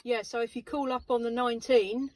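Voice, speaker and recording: High-voiced English woman amid heavy static. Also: steady tone, a little fast. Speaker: CB radio operator. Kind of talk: casual radio chat. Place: CB radio session with local friends. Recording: distant mic, very loud radio static.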